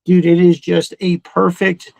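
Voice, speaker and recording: A middle-aged man co-hosting a casual fan chat, talking in an excitable, rambling, profanity-laced way. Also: high voice, unhurried, vocal fry, no echo. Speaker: podcast co-host.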